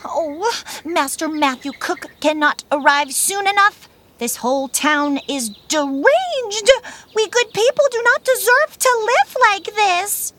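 Prim, high-pitched Puritan goodwife. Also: female voice, lively intonation, slow pace, a bit bright, slight background noise, heavily acted. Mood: exasperated. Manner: affected, falsely modest, fussy. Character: prim, self-righteous, nagging Puritan goodwife and social climber. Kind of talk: audio theater comedy acting.

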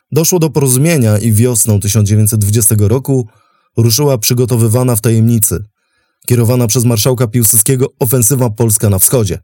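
A man hosting and narrating a history programme from a script, in a measured, articulate, formal manner. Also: medium pitch, mellow, dry recording, studio quality. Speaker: podcast host and narrator.

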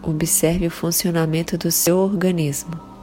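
A Brazilian woman leading a guided meditation in a calm, soothing, measured way with long pauses between phrases. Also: lowish voice. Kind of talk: slow guided meditation narration. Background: soft sustained meditation music.

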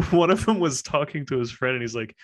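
A man's voice: medium pitch, lively intonation, fairly quick. A man in a casual conversation, joking loosely and leaning on "like" as filler. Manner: loose, joking, says "like" a lot. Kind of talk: casual chat.

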